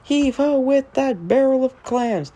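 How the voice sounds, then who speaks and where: A man's voice: very high-pitched, animated, unhurried, warm tone, voice pushed up. A man voicing a hearty old sailor chanting sing-song sea-shanty calls from a children's storybook. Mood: hearty, rousing.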